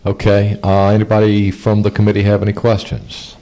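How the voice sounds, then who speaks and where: A man with a deep, low voice chairs a committee hearing from a desk microphone. He runs the session informally, handing out the floor and asking short questions. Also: completely flat delivery, brisk, dark tone, slight background noise. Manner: informal, procedural. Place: legislative committee room.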